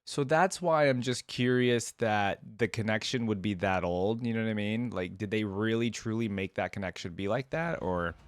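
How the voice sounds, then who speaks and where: A man with a fairly low voice comments casually as he hosts a reaction video. He muses and speculates out loud in a conversational way. The sound is dry, with little room. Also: moderate pace, hardly any pauses, clear, resonant tone, dry recording. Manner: musing, conversational, speculating aloud.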